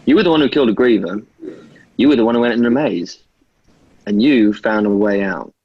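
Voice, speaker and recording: Husky, low young man played by an actor. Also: fairly quick, dry recording, close to an everyday voice. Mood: resolute. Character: serious, loyal young man, steadying friend. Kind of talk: actor's table read over video call. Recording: dull, dry video call audio.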